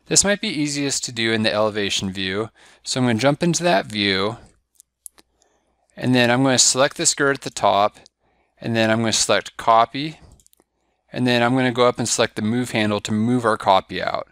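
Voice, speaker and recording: A man giving a step-by-step software tutorial over a screen recording, walking through each click in a steady, instructive way. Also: medium pitch, brisk, clear, resonant tone, no room sound, clean studio sound. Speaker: software trainer.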